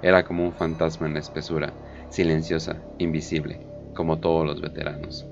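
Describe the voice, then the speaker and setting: A man's voice: very deep voice, little pitch movement, a little fast, creaky, highs cut off. A man narrating a scripted war story in a dramatic audio drama style. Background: prominent background music.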